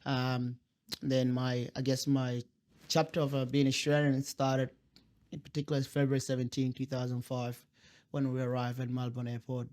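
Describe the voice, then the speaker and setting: Man, young, from South Sudan, Dinka first language, flat monotone delivery, hesitant with fillers and restarts. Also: medium pitch, moderate pace. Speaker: guest. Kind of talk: interview storytelling.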